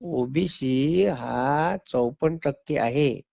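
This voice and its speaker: A man's voice: medium pitch, drawn-out words, gravelly, mellow. A man with a rural Maharashtrian dialect on a phone call, arguing bluntly and with exasperation. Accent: rural Maharashtrian, Vidarbha-Marathwada dialect. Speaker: teacher. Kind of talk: argumentative phone conversation. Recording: muffled lo-fi phone call recording.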